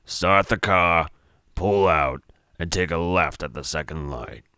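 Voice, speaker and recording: Man playing a gruff, forceful, very deep mob tough. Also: unhurried, no room sound, studio quality. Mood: sardonic, curt. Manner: sardonic, threatening, tough-guy slang. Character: gruff, irritable, menacing stocky mob enforcer man.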